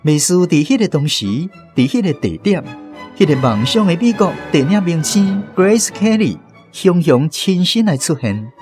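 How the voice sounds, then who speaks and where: A man with a Taiwanese accent reads fiction aloud as a narrator. His slightly high voice swings expressively through the phrases, with music playing behind him. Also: big pitch swings, normal speed, darkish tone. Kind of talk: expressive literary reading of a story in Taiwanese.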